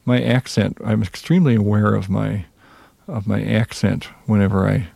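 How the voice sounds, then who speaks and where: A man with a Minnesota accent, a jazz musician answering interview questions in a reserved, hesitant way with many pauses. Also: on the low side, steady tone, creaky, mellow, no echo. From Minnesota, USA.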